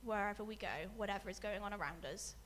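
A woman preaching a sermon, speaking softly with many ums and letting her phrases fade away quietly. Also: medium pitch, fast talker, slight background noise. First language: not English. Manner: soft-spoken, many ums, trails off quietly at phrase ends. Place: church hall with a screen.

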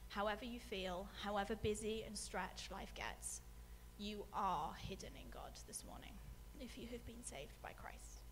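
A woman preaching a sermon, speaking softly with many ums and letting her phrases fade away quietly. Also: medium pitch, a little slow, hardly any pauses, slight background noise. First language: not English. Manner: soft-spoken, many ums, trails off quietly at phrase ends. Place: church hall with a screen.

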